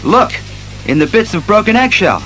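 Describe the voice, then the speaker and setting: Methodical masked detective, earnest, declamatory, animated intonation, slightly high voice, audio drama. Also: male voice, faint music behind, heavily acted, low-quality recording. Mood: earnest, resolute. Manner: deliberate, declamatory deduction. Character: earnest, methodical male masked crime-fighting detective hero.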